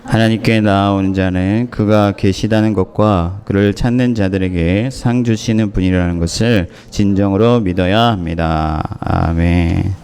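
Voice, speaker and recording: A man preaching a sermon as pastor, hoarse from a cold, speaking in measured phrases with pauses and fillers. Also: deep voice, steady tone, darkish tone. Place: church in Moscow.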